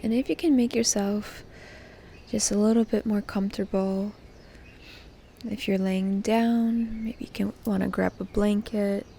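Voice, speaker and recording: A woman hosting a guided meditation in a calm, gentle, soft voice that turns breathy at times, pausing between short phrases. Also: medium pitch, slight background noise. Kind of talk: guided meditation, soft slow soothing delivery.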